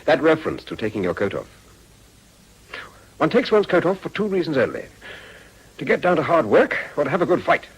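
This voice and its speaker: A man playing an ambitious, self-assured politician in a period radio drama, husky, formal and polished, with an upper-class English accent. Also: on the high side, very animated, a little fast, pauses often, full character voice, low-quality recording. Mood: smooth, defensive.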